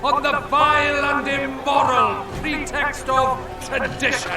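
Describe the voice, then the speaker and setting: A man's voice: extremely high pitch, level tone, slow, distant mic, heavily acted. A man playing a furious, impassioned politician making a declamatory rally speech in an audio drama. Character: fiery, embittered Welsh socialist politician orating. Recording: echoing outdoor rally, crowd and music behind.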